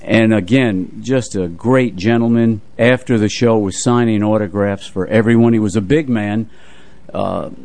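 An older man reminiscing in casual radio chat as a co-host, with a measured, halting delivery. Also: on the low side, darkish tone. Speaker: radio co-host and music presenter.

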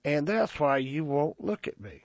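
A man's voice: fairly high pitch, very animated, a little slow, dry recording. A man voicing a wounded young soldier who is bitter and despairing after learning he is blind. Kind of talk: audio drama acting. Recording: muffled lo-fi recording.

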